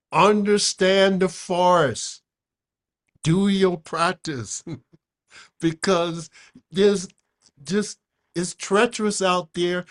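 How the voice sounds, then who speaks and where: Slow, emphatic older man teaching. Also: high-pitched. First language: Japanese. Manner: emphatic, repeats words, frequent "okay?". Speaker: Buddhist teacher. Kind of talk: dharma talk with questions.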